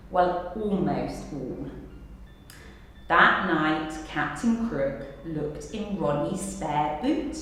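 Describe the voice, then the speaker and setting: Slow, expressive woman storyteller in echoing hall. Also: medium pitch. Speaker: teacher reading a children's story aloud. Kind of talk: expressive children's storybook narration. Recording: echoey hall, distant mic, background noise.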